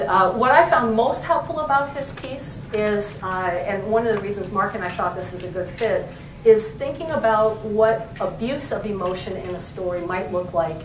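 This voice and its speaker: A female professor talking casually at a library event, often breaking off and restarting her sentences. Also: medium pitch. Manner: chatty, self-interrupting, many fillers. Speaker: professor, co-editor. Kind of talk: conversational academic talk. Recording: echoey room, distant mic, faint noise.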